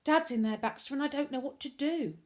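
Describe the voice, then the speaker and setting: Woman acting a high-pitched, desperate young boy. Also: moderate pace, darkish tone, close to an everyday voice. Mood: tearful. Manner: emotional, pleading, exclamatory. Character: distraught, desperate young boy calling for his father. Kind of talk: storybook character voice.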